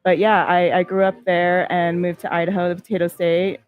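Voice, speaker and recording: A woman's voice: lowish voice, flat delivery, a little fast, clean tone, phone-quality sound. A woman from California, an activist guest in a casual interview chat, earnest and passionate, laughing often.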